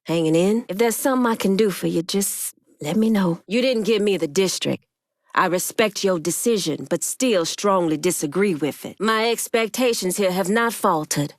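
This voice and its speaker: A woman's voice: mid-range voice, dry recording, natural voice. Woman acting a commanding, street-tough lady gang boss, dry sound. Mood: somber, concerned, then insistent, proud. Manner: stern, deliberate, street-tough and profane. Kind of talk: video game character dialogue.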